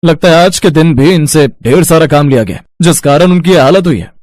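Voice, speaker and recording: A man voicing a calm doctor who speaks in a brisk, authoritative way. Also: on the high side, no echo, close to an everyday voice.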